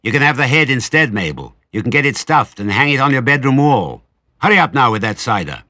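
A man's voice: mid-range voice, sing-song, fairly quick, sharp tone, dry recording, studio quality, pitched above the performer's own voice. A man voicing an impatient, bossy farmer's wife with a snappish, commanding manner in a storybook.

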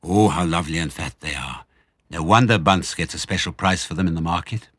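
A man's voice: sits low, fairly quick, fairly bright tone, slightly rough, no room sound, studio quality. A man voicing a cunning, generous fox father in an audiobook, speaking in a theatrical, coaxing, self-assured way. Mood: delighted, generous. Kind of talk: audiobook character reading.

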